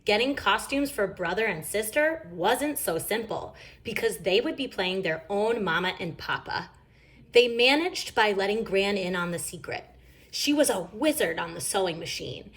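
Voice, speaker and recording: A woman reading a children's story aloud in an expressive, emphatic storytelling manner. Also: mid-range voice, normal speed. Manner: animated, emphatic. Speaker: storybook reader.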